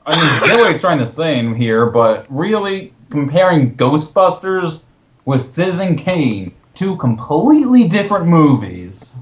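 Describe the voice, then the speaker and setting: A man's voice: fairly high pitch, big pitch swings, unhurried, a little hiss or hum, rough recording. Sarcastic man hosting movie commentary. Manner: sarcastic, incredulous. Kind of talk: casual commentary with read-out quotes.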